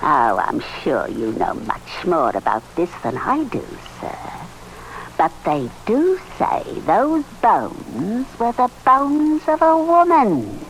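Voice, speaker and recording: A woman's voice: medium pitch, huge pitch swings, exaggerated voice, low-quality recording. An actress playing an older village woman, insinuating yet deferential, in an old radio drama. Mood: darkly insinuating. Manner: insinuating, deferential, drawn-out hints. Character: sly, gossiping rustic older village woman. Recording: vintage radio recording, faint noise.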